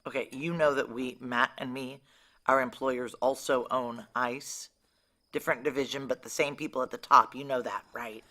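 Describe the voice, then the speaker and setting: A woman's voice: very deep voice, fairly even intonation, normal speed, pushed and bright, dry recording, exaggerated voice. An actress playing a wry, guarded, jealous woman on the run, in dry, clipped noir audio drama dialogue and inner monologue. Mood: guarded, probing.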